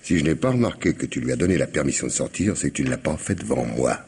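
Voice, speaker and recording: Deep-voiced sneering husband, old radio play, swings up and down a lot. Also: male voice, animated, fast, gravelly, darkish tone, slightly rough, exaggerated voice. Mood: mocking. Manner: mocking, needling, theatrical laughs. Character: sardonic, suspicious husband, middle-aged. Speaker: actor in a radio drama. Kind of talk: vintage French radio drama acting.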